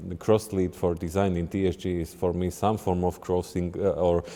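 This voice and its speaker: Man, very low voice, mellow tone, halting restarts, reverberant room. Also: level tone. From Slovakia. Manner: halting, restarts often while searching for English words. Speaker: head of game design, interview guest. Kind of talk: casual interview answers.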